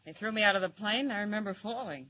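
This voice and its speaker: A woman's voice: mid-range voice, very fast, highs cut off, background noise, close to an everyday voice. An actress playing a spirited young female newspaper reporter in a 1940s radio drama, dreamy and hesitant in her talk. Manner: dreamy, hesitant, a bit aloof.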